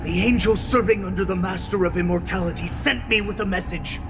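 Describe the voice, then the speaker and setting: A man's voice: high voice, fairly quick, music in the background. A fawning beast-man counselor. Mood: earnest. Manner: deferential and servile toward his lord. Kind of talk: video game voice acting.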